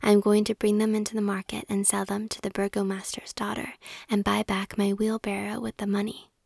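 A woman's voice: mid-range voice, monotone, a little fast, vocal fry, darkish tone, no echo, studio quality. A woman reading aloud in a whisper, voicing a humble, kindly young male gardener. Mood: earnest. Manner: meek, earnest.

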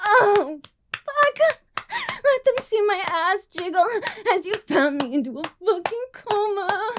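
A woman playing a very high-voiced, breathless bratty streamer. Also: slow, noisy background. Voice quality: breathy, moaning, tearful. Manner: panting and moaning between short phrases. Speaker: adult audio roleplay performer. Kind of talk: explicit audio roleplay.

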